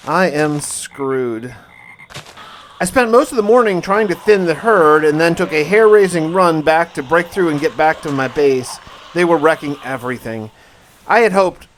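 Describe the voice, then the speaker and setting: A man narrating gameplay as a dramatic first-person survival diary, in an emphatic, theatrical way with drawn-out words. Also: a little high, a little hiss or hum. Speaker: gaming video host.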